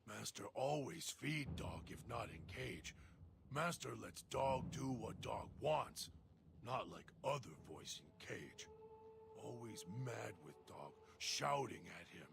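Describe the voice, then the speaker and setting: A man's voice: mid-range voice, very animated, vocal fry, noisy background, full character voice. A man voicing a feral, broken-minded servant who calls himself Dog and talks of himself in broken third person. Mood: haunted. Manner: halting, childlike, fearful of an inner voice.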